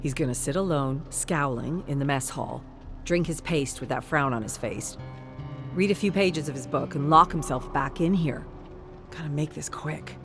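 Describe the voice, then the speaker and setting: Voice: medium pitch, normal speed. A narrator reading a scripted science fiction story in a dramatic, present-tense storytelling style. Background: music.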